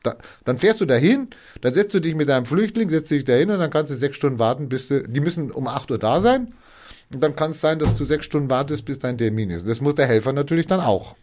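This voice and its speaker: Man of medium pitch, casual explainer; animated, acts out bits of dialogue, restarts a lot. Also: fast.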